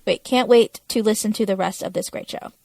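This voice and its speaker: A woman with noticeable vocal fry co-hosts a podcast in a casual, conversational style. Her pitch is average for a woman. The sound is very dry, free of room echo. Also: fairly quick, dark tone. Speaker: podcast co-host.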